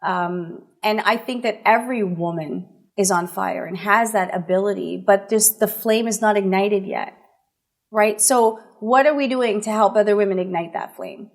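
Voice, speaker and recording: A woman's voice: medium pitch, moderate pace. A woman guest in an interview talking earnestly and emphatically, posing rhetorical questions as she shares motivational ideas.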